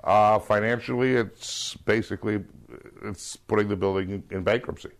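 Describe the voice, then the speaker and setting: A man's voice: lowish voice, fairly even intonation, moderate pace. A man interviewed for a news report, speaking about a hardship with indignant, wry frustration. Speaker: interviewed property owner.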